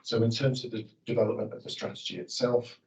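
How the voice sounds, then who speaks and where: A man with a croaky voice presenting a formal policy update at a committee meeting, in formal, jargon-heavy sentences with fillers. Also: mid-range voice, little pitch movement, fast talker, darkish tone, far from the mic, muffled recording, slight background noise. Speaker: officer presenting a report.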